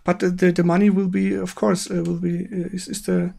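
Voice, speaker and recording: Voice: medium pitch, little pitch movement, fairly quick, gravelly, warm tone. A game stream host with a German accent, chatting casually and searching for words in a second language.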